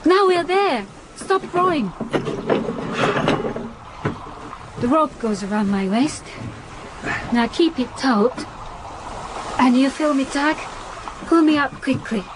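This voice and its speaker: An earnest, formal young island diver. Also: female voice, sits high, animated, unhurried, long pauses, background noise. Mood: brisk, instructing. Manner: formal, careful, slightly stilted phrasing. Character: young Japanese island diving woman, earnest, practical, forthright. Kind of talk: radio drama acting.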